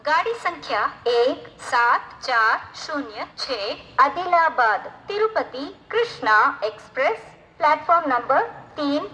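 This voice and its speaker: A woman giving formal, measured train announcements in segmented, pieced-together phrases over station loudspeakers, in a noisy recording. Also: sits high. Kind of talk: formal multilingual train arrival and departure announcement.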